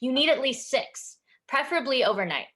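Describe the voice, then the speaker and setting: A woman's voice: high-pitched, lively intonation, fairly bright tone. A woman playing a stern, traditional middle-aged Indian mother in a staged reading, speaking firmly and instructively. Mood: brisk, instructive. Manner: firm, halting, instructive. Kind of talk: staged reading over video call.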